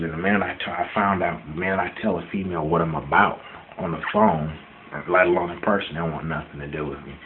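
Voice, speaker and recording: A man of twenty-nine in a casual personal video rant, speaking bluntly and defiantly and repeating himself for emphasis. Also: very deep voice. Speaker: vlogger answering questions about himself. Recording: dull lo-fi sound with background noise.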